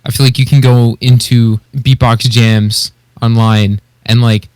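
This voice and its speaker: Guy cohosting a podcast, normal pitch, laid out casual and kinda hesitant, agreeable, no room echo. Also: flat delivery, unhurried, a little mellow, clear, resonant tone. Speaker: podcast co-host. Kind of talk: casual podcast discussion of beatboxing competitors.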